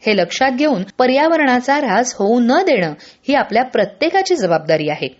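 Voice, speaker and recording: A woman presents a scripted radio newsreel in a formal, measured manner. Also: a little high, sing-song. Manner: formal, measured news reading. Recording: dull lo-fi radio broadcast sound.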